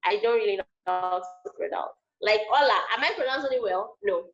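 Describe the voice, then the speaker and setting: A woman with a Nigerian accent, a Yoruba speaker, talking casually to a class as a guest teacher, animated and repeating herself for emphasis. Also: mid-range voice, fairly quick. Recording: dull lo-fi video call audio.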